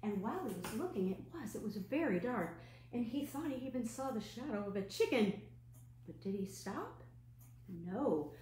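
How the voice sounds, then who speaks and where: Female children's storyteller, higher voice, unhurried, gentle and expressive; live online storytime with roomy echo. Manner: gentle, expressive, asks listeners simple questions. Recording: roomy echo, clear background noise and hum.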